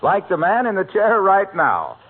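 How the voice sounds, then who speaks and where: A man's voice: high voice, wildly swooping pitch, a little slow, breathy edge. An American man announcing and reading commercials in polished, emphatic 1940s radio style. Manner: polished, emphatic salesman delivery. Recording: narrow, slightly noisy old radio broadcast.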